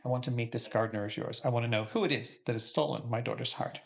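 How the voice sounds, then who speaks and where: A man's voice: mid-range voice, steady tone, quick pace, gravelly, slight background noise, natural voice. An actor playing a stern elderly tycoon father who scolds his children and later weakens and coughs. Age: older. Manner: commanding, scolding, turns weak and coughing later. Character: stern elderly male tycoon father, head of a family firm. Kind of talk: melodrama acting.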